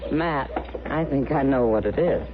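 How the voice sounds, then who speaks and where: A woman playing a gentle, protective American frontier woman in an old radio western, with a coaxing manner. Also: very deep voice, moderate pace. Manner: coaxing, soft-hearted. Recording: muffled, noisy old radio broadcast.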